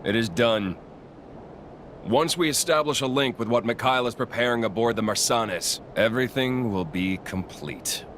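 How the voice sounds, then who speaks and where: A man's voice: normal speed, slight background noise, close to an everyday voice. A man playing a contemptuous, unhinged video game villain with a sneering, theatrical delivery. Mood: cold, smug. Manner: sneering, theatrical, swinging from low murmur to shouting. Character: contemptuous, unhinged male villain, cold then ranting. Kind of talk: video game cutscene acting.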